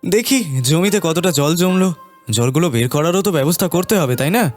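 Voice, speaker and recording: A man voicing a worried, gentle village farmer in a cartoon, hesitant. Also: high voice, animated, fairly quick, soft background music. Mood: resigned, gentle. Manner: anxious, hesitant, repeating words. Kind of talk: cartoon voice acting.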